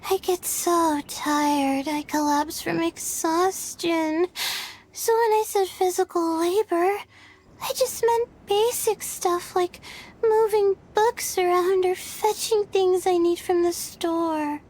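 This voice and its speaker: A woman's voice: very high voice, steady tone, slow, darkish tone. A woman playing a timid, perpetually sleepy young scholar girl in a video game, hesitant and apologetic. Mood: embarrassed. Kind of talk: video game character acting.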